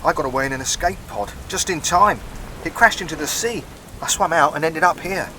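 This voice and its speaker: A man acting a hapless castaway spacer in a sci-fi audio play. His voice sits fairly high for a man, and he talks in a hesitant, wheedling plea. Loud rain and storm noise surrounds him. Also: a little fast. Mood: shaken. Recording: noisy rain and storm effects throughout.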